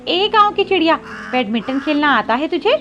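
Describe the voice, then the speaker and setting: A woman acts out a spoiled, contemptuous young female pigeon from a children's cartoon story. The voice is very high and swoops with taunting, bossy scorn. Music plays behind a slightly dull recording. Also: sing-song, a little fast, pushed and bright, heavily acted. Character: haughty, bullying rich young female pigeon, sneering city girl.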